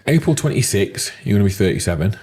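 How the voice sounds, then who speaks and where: A man's voice: fairly low pitch, expressive, normal speed, a little mellow, breathy edge. A man in his thirties, co-hosting a casual business podcast with dry, teasing asides. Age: 30s. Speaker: podcast co-host.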